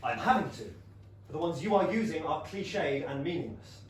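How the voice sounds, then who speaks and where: A man acting a wry, wounded gentleman in a staged play reading, measured, articulate and probing. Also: on the high side, expressive, close to an everyday voice. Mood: bitter, cutting. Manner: ironic, probing, cutting. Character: wry, sceptical, wounded gentleman in love with his friend. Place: small theatre, recorded from a distance. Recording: roomy, distant theatre recording, faint noise.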